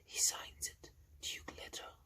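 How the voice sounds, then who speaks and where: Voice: takes it slowly, bright, forceful tone. An ASMR reader whispering the lines of a sly, mocking male assassin-advisor.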